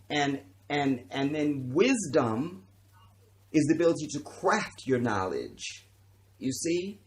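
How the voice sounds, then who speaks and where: Preachy man lecturing on livestream. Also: medium pitch. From born in Chicago, raised around the US. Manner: preachy, emphatic, profane, keeps asking "you understand?". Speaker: livestream host. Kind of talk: unscripted livestream monologue.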